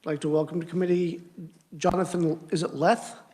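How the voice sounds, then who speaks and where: Committee chair, male, high voice, brisk and casual while moving through procedure. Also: level tone, darkish tone. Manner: brisk, informal procedural patter. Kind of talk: chairing a legislative hearing.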